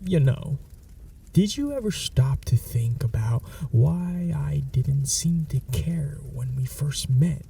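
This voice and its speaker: A man playing a gravelly whispering vampire. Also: a little high, expressive, dark tone, distant mic, slight background noise, full character voice. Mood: menacing. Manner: slow, mocking, threatening. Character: menacing possessive male vampire, taunting a captured hunter. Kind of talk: ASMR audio roleplay.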